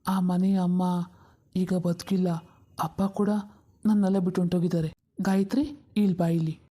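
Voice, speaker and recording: Woman voicing an earnest, mellow-toned pleading younger brother. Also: fairly low pitch, level tone, moderate pace, natural voice. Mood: earnest, pained. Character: earnest, compassionate younger brother pleading for his half-sister. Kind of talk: dramatic cartoon story dialogue.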